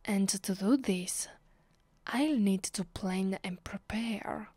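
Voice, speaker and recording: A woman with an Italian accent playing a commanding, vengeful vampire in an ASMR roleplay, her voice soft and breathy, often sinking to a whisper. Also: mid-range voice, dry recording. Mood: cold, vengeful. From Italy. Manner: slow, deliberate, imperious. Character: commanding, vengeful female vampire, secretly affectionate. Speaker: ASMR voice actress.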